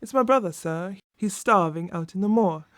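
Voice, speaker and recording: A woman's voice: mid-range voice, normal speed, no echo, studio quality, close to an everyday voice. A woman playing an anxious servant's wife protecting her family, earnest and pleading, in an audio drama. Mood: distressed confession.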